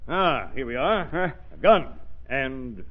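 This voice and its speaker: An actor playing an authoritative male police commissioner, brisk and gruffly official, in an old radio drama. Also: mid-range voice, animated. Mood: businesslike. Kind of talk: 1940s radio acting. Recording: dull, lo-fi vintage radio recording.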